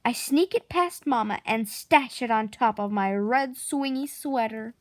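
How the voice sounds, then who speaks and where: A woman narrating a children's picture book expressively, with theatrical, drawn-out emphasis on key words. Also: fairly high pitch, dry recording. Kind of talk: expressive children's storybook read-aloud.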